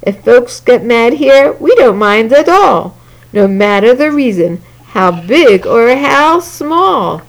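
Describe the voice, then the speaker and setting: An excitable male town mayor, put on by a woman, booming and sing-song. The voice jumps up high and lively as he welcomes and teases. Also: slow pace, full character voice, low-quality recording. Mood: cheerful.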